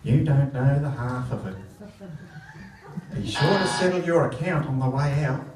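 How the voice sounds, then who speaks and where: Therapist played by a man: brisk, courteous, live comedy, echoey and noisy. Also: medium pitch, lightly acted. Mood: brisk, polite. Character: brisk, polite male therapist greeting patients. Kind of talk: live comedy radio play. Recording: echoey, distant, very noisy stage recording.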